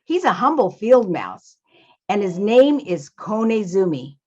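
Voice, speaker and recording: A woman voicing an ancient, humble wall in a storybook tale, gentle, deliberate and apologetic. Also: medium pitch, very animated, highs cut off. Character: tall, centuries-old stone wall, humble and kindly apologetic.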